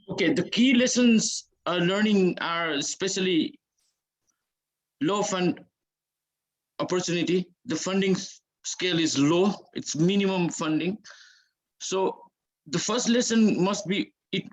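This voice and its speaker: Man, Nepali accent, fairly high voice, halting, restarts, searching for words, panelist. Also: rough recording.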